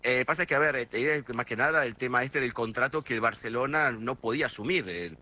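Hesitant Argentine man, bright voice. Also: medium pitch, brisk. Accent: Argentine, Buenos Aires area. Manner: talkative, many hesitations and restarts. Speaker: guest correspondent. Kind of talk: radio phone interview.

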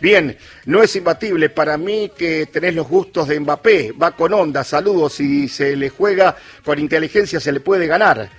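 A man with an Argentine accent hosts a radio sports talk show in a husky, fairly high voice. He is opinionated and emphatic, reading out listener messages and pausing to search for words. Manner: conversational, emphatic, with hesitant searching pauses.

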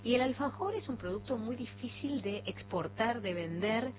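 A woman from Argentina with a Rioplatense accent, hosting a radio interview in a chatty, animated and informal way. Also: a little high, normal speed, over music. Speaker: radio host. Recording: phone-like radio broadcast with faint noise.

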